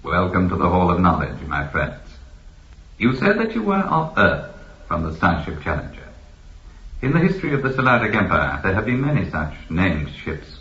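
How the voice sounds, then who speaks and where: An actor playing a formal, authoritative keeper of an ancient imperial library in a science fiction radio drama. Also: male voice, sits low, wildly swooping pitch, normal speed, fairly bright tone, dull audio, full character voice. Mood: courteous, formal. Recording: echoey, band-limited old radio recording.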